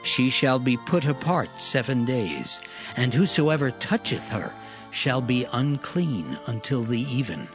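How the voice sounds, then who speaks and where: A man narrating scripture in a solemn, measured way, as in a dramatized audio Bible. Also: mid-range voice, animated. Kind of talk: dramatized King James Bible reading. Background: music.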